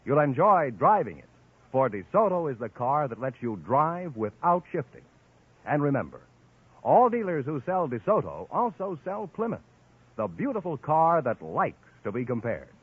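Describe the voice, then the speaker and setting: A man announcing in formal, scripted vintage radio style, like a mid-century sales pitch. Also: sing-song, moderate pace, husky. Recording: tinny, band-limited old radio broadcast.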